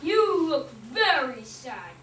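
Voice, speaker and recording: A smug storybook leopard, voiced by a woman brags in a high, bright voice, with a lively lilt. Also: takes it slowly, reverberant, far from the mic, a little hiss or hum, heavily acted. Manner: smug, bragging. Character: boastful male leopard.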